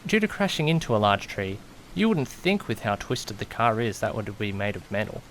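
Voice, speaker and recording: A man's voice: lowish voice, lively intonation, normal speed, a bit bright, a little hiss or hum, low-quality recording. A man playing an anxious, easily panicked young man who narrates his own misadventure in an audio drama, dramatic and questioning himself. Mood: tense narration. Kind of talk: first-person audio drama narration with acted dialogue.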